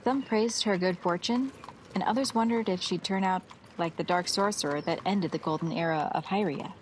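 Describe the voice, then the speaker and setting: A woman's voice: lowish voice, normal speed, a little hiss or hum, rough recording. A woman narrating a scripted fantasy story as the storyteller of an audio drama.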